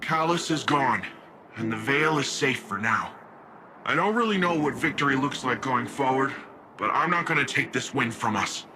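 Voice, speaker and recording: A man's voice: mid-range voice, animated, moderate pace, natural voice. Guy doing young unsure hero from a sci-fi game, chatty and candid, voice moves around a lot, noisy background. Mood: wistful. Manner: informal, candid. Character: youthful, unsure, casual rookie sci-fi hero. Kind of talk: conversational game character acting. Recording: clear ambient noise behind.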